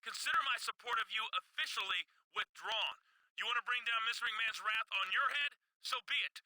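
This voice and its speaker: A man's voice: very high-pitched, brisk, sharp tone, dry recording, full character voice, low-quality recording. A man playing a stern, exasperated general manager in a wrestling video game.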